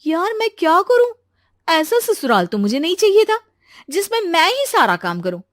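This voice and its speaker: A high, girlish voice put on by a woman storyteller for an eager young bride-to-be in a moral story. She is chatty, animated and outspoken. The sound is close and dry. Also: moderate pace, no echo. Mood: exasperated.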